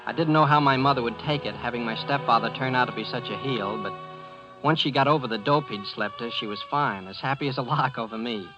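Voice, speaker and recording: A man's voice: mid-range voice, brisk, lo-fi sound, music in the background, lightly acted. An American man playing an earnest, plain-spoken teenage boy in a 1940s radio drama. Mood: easygoing narration. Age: teenager, about seventeen. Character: earnest, folksy teenage boy trapping his scheming stepfather. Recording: band-limited vintage 1940s radio recording.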